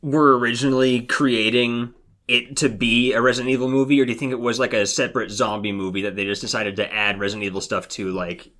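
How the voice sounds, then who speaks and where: A man's voice: mid-range voice, flat delivery, quick pace, fairly bright tone. A man co-hosting a podcast, chatting casually about movies. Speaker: podcast co-host.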